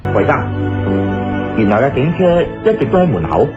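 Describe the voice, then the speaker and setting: A man's voice: mid-range voice, sing-song, normal speed, muffled recording, music in the background, lightly acted. A man playing an anxious, unstable murder suspect who pleads, in a Cantonese radio drama. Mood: resigned.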